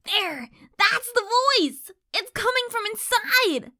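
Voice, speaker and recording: Cartoon filly pony: very high, bright, wide-swinging delivery, earnest and scared. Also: female voice, animated, takes it slowly, exaggerated voice. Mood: alarmed, insistent. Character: frightened young filly pony, earnest and insistent. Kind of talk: animated fan fiction audio drama acting.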